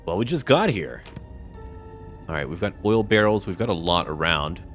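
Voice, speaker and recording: A man's voice: low-pitched, expressive, normal speed, fairly bright tone, faint music behind. A man doing casual video game commentary, thinking aloud and talking to his viewers.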